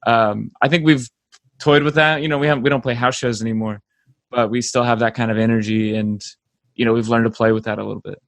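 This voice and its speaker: A man's voice: medium pitch, steady tone, fast talker, vocal fry, no echo. Young American male, band member in casual interview, dry close recording.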